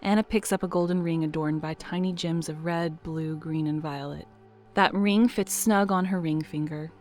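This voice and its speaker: A woman narrating an audio drama in a measured, dramatic storytelling manner. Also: low voice, fairly even intonation, darkish tone, soft background music.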